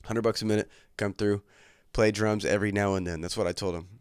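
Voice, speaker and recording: A man's voice: fairly low pitch, steady tone, quick pace, clean tone, dry recording, clean studio sound. A young man hosting a casual livestream from his bedroom, chatting in a laid-back, crude, joking way, slightly congested from a cold. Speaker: livestream host.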